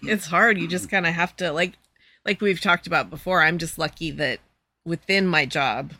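Woman, podcast co-host, casual chat, brief easygoing replies, bright tone, dry close sound. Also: lowish voice, dry recording. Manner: brief, easygoing answers, unhurried.

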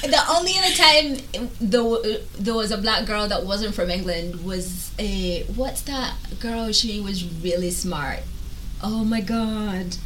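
A woman's voice: mid-range voice, takes it slowly, background noise. A young woman in casual, slangy chat, opinionated and emphatic.

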